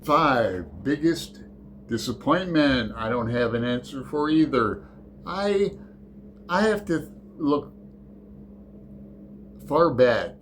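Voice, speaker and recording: A middle-aged man talks through a book tag on his channel, taking his time and drifting into side stories. His voice sits at an ordinary pitch and he keeps stopping to correct himself. A faint room ambience hangs behind him. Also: animated, a bit bright, slight background noise. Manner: rambling, digressive, halting with fillers and self-corrections. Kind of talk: casual unscripted book vlog.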